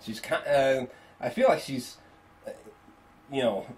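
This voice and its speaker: A man giving a casual spoken movie review, swearing freely and repeating phrases for emphasis in an animated, emphatic way. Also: mid-range voice, a little slow, rough recording. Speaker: film reviewer.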